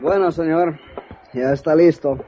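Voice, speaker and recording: An actor playing a patient, determined Mexican police captain in 1950s radio drama, with a Spanish accent and halting broken English mixed with Spanish. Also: male voice, a little high, lightly acted. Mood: earnest, searching. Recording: muffled 1950s radio recording.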